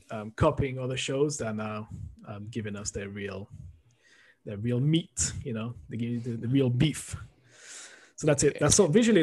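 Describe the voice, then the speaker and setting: A man's voice: lowish voice, darkish tone. A man co-hosting a podcast, chatting casually and rambling with frequent ums and small laughs. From half French. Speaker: podcast co-host.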